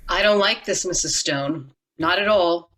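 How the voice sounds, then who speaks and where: A woman playing a blunt, stern youngish doctor in an amateur radio drama, firm and deliberate. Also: fairly low pitch, fairly bright tone. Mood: grave, stern.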